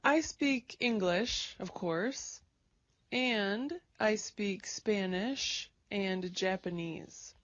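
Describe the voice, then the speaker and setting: A woman speaking slowly and clearly in a simple conversation made for English learners. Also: mid-range voice, a bit bright, no room sound, dull audio. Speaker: conversation partner in English lesson.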